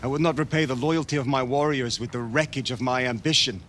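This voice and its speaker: An actor playing a vengeful young Viking war leader in a video game, grave and oratorical in delivery. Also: male voice, medium pitch, fairly bright tone, slight background noise, natural voice. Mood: grave, pleading. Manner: slow, solemn, oratorical delivery. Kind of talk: dramatic cinematic game acting.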